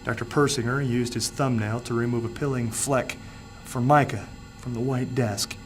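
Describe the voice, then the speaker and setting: A man's voice: mid-range voice, fairly even intonation, faint music behind. Suspenseful male audiobook narrator. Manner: measured, suspenseful storytelling. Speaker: author reading his own story.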